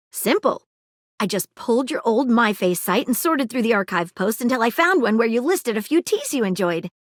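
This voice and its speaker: An eager little magnifying-glass detective in a video game, polite and precise, with a crisp manner and a quick apology always ready. Her voice sits a touch high and swoops all over the place, recorded close and dry with no room around it. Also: dry recording. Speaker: detective character. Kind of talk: video game character dialogue.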